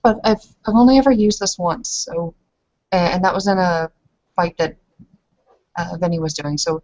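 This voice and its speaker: A woman's voice: lowish voice, moderate pace, a little mellow, dry recording. A woman chatting casually as a player in a tabletop roleplaying game, heard over lo-fi voice chat.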